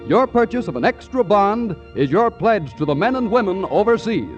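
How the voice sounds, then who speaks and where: Casting: male American vintage radio pitchman, emphatic, swooping delivery, muffled sound with music behind. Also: sing-song, normal speed. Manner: formal, emphatic. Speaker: radio announcer. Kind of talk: 1940s radio advertising announcement. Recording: dull 1940s radio broadcast.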